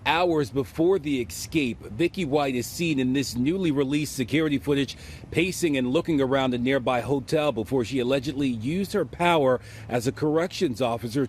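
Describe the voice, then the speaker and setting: A man's voice: medium pitch, a little mellow, slight background noise. A man narrating a scripted television news report as a correspondent.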